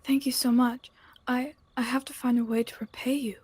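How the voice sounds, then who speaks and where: A woman plays a grateful, timid beggar in a radio drama. The voice is fairly high and husky. The words come haltingly, with stammers and insistence. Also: flat delivery, a little mellow, dry recording. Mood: grateful, insistent. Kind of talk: radio theatre acting.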